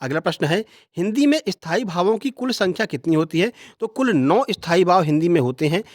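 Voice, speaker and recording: A man's voice: high-pitched, animated, brisk. A man teaches in an exam-review style. He states each question, answers it and repeats the key facts instructively. The sound is close and dry, with no room echo.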